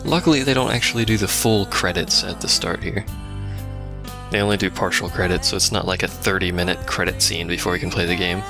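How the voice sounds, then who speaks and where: A man's voice: low-pitched, a little fast, over music. A man giving casual, dry and sarcastic commentary on a video game he is playing. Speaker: let's play commentator.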